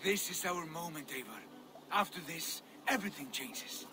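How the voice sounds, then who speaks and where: An actor playing a proud, secretive Norse jarl in a video game, commanding and insistent. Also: male voice, a little high, expressive, moderate pace, noisy background, close to an everyday voice. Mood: solemn.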